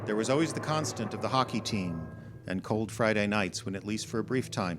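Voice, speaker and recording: Low-voiced man narrating, noisy live recording. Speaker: reader of a ghost story. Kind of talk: scripted storytelling, live reading. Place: performance club before a live audience.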